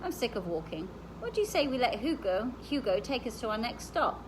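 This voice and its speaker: Woman reading as an easygoing farmhand man, animated. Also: fairly high pitch, fairly quick, background noise. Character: easygoing, folksy adult male farmhand giving instructions.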